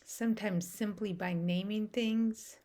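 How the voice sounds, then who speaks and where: A woman guides a meditation at a slow, unhurried pace. Her voice sits at an average pitch for a woman. She speaks softly and soothingly, setting out short measured phrases with long pauses between them. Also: steady tone.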